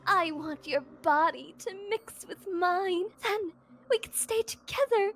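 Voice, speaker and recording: A woman voicing a sweetly possessive, doting visual novel character who makes formal, deliberate declarations of love. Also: very high-pitched, a bit bright, exaggerated voice. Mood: tender obsession.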